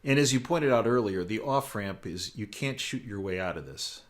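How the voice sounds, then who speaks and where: Low-voiced American man hosting an interview. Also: lively intonation, normal speed. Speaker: interview host. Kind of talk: conversational current-affairs interview.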